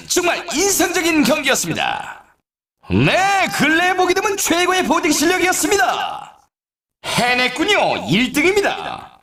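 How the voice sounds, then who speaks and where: An excitable male video game announcer calling snowboard races. His voice sits high and swings dramatically up and down. The phrasing stays formal and polite, delivered with exclamatory, showy flair. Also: roomy sound, full character voice. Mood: excited, praising.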